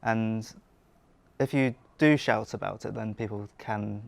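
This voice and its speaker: A man's voice: on the low side, steady tone, measured pace, gravelly, no room sound. A man hosting a casual talk, speaking earnestly and steadily with some fillers. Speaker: podcast host and community organizer. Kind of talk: casual podcast conversation.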